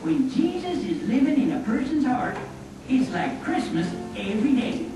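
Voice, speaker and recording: Lady doing a folksy cowboy narrator guy, high pitch, far from mic, music behind. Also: sing-song, moderate pace. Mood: encouraging. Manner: folksy western wording, kindly. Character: folksy old-time cowboy storyteller puppet, kindly man. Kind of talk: scripted storytelling narration. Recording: echoey room, distant, music behind.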